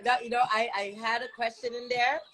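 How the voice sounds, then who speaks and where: A woman's voice: on the high side, flat delivery, brisk, music in the background, low-quality recording. A middle-aged woman, interviewing casually on a livestream and slipping into patois. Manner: chatty, slips into patois. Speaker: interviewer and live show host. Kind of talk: casual live interview chat. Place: livestream video call.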